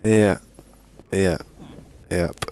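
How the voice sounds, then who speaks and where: Man, very low voice, gravelly, laid-back gaming chatter, lingering cough, faint hiss. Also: fairly even intonation, extremely slow, mellow. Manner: rambling and laid-back, says "man" a lot. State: still has a lingering cough. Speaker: gaming streamer. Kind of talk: casual gameplay chat.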